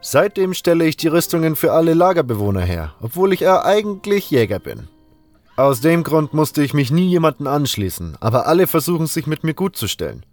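A calm hunter who builds armor in a fantasy audio drama , acted out by a male reader. His voice sits a little high and he talks plainly and without hurry, like a quiet man who opens up when asked. Music plays softly behind him. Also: dry recording. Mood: friendly, proud. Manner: unhurried, matter-of-fact, talkative once engaged.

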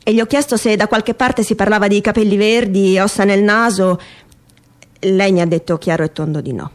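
A woman's voice: mid-range voice, steady tone, moderate pace, close to an everyday voice. A woman performing a theatrical monologue as a world-weary old male sheriff, reflective and plain-spoken. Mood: tender, musing.

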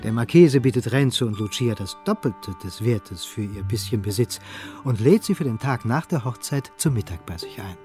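Calm male radio-play narrator. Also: mid-range voice, moderate pace. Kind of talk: scripted radio drama narration. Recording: radio play with music behind.

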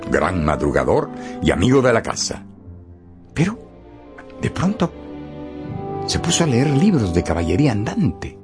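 An actor playing a wry male storyteller in a radio drama, dramatic and playful, with deliberate hesitations. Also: fairly low pitch, vocal fry, heavily acted. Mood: amused, animated. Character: lively, wry male storyteller of a classic tale.

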